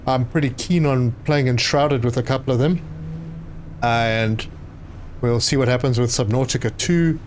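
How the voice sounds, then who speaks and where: A man, live streaming a game and chatting with viewers, often pausing with fillers. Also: mid-range voice, a little hiss or hum. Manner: chatty, reads chat aloud, hesitant with fillers. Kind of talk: casual live stream commentary.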